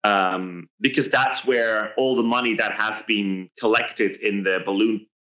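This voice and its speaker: A man's voice: lowish voice, steady tone, a little slow, a bit bright, dull audio. A man co-hosting a podcast, talking casually and hesitantly, often restarting his sentences. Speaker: podcast co-host. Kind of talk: casual chat about a reality show.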